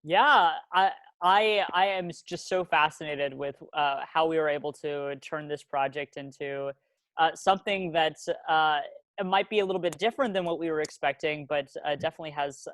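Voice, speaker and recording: Young man as interviewer: slightly high-pitched, tentative, conversational delivery in a dry, close recording. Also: no room sound. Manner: hesitant, frequent "uh" pauses.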